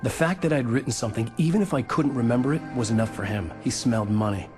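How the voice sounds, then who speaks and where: A man's voice: mid-range voice, fast talker, close to an everyday voice. A man playing a troubled, weary writer in a game, speaking in a terse, understated way. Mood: brooding. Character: troubled male writer, brooding and weary, narrating his nightmare. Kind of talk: dramatic game acting with first-person scripted narration. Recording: music behind the voice.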